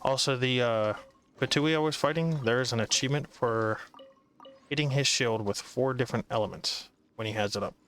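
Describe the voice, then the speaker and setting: A man's voice: medium pitch, moderate pace, a bit bright. A man giving casual gameplay commentary, muttering sardonic, sometimes profane remarks to himself as he plays. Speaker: gamer playing and commenting.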